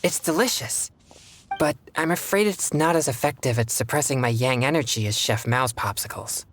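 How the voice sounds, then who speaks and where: A man voicing a reserved teenage boy exorcist in a video game, earnest, measured and formal in manner. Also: mid-range voice, fairly bright tone, soft background music, close to an everyday voice. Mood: thoughtful, appreciative.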